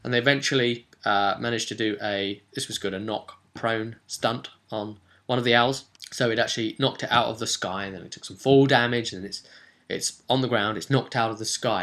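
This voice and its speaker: Guy recapping a tabletop session, lowish pitch, really bright forceful voice, rambly and keen. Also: vocal fry. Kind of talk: casual unscripted session recap.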